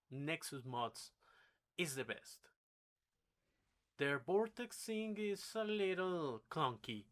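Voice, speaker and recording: Slow, halting Spanish-accented man on a rant. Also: medium pitch, sharp tone. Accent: Spanish-speaking. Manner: halting, repetitive, blunt and sweary. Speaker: video creator. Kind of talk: unscripted opinionated rant.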